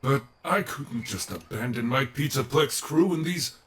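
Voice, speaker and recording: A man's voice: medium pitch, animated, measured pace, a bit bright, far from the mic, a little hiss or hum. A man playing an earnest, theatrical animatronic bear in an audio roleplay, grandiose, formal and wordy. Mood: apologetic.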